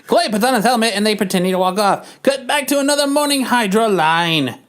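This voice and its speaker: Guy narrating a comic book scene out loud, playful, voice projected. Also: sits high, expressive, fairly quick. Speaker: podcast narrator. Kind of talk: comic book narration, reading stage directions.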